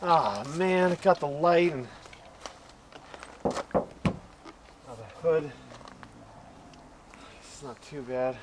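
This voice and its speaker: A man's voice: fairly high pitch, expressive, drawn-out words, pauses often, voice pushed up. Man acting a frantic motorist, noisy location recording. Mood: dismayed muttering. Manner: frantic, exclaiming. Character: panicked motorist stumbling on a monster. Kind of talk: naturalistic audio drama acting.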